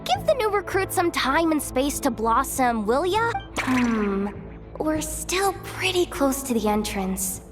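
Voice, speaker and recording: A woman's voice: high-pitched, big pitch swings, slow pace, over music, full character voice. A woman voicing a cheeky, breezy young female treasure hunter in a video game, with a flippant, chatty, theatrical manner. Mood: breezy explaining. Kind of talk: animated video game voice acting.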